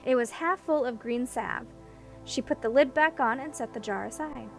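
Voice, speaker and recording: High-voiced woman narrating a story. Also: moderate pace, gravelly, soft background music. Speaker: audiobook narrator.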